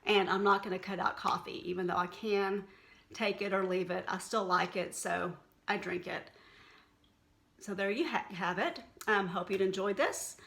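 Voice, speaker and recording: Chatty Texan woman with a gravelly edge. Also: medium pitch, moderate pace, fairly bright tone. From Texas. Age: middle-aged. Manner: chatty, candid and folksy. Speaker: wellness blogger. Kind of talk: unscripted casual monologue to camera.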